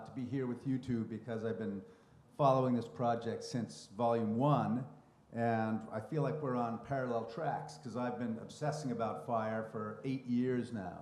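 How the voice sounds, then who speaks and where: Man, moderator, mid pitch, reflective and expansive, thinking aloud, echoey event room. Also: a little mellow. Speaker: moderator and writer. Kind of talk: live panel conversation. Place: public library event room. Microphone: handheld or stand mic. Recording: roomy echo of a live event.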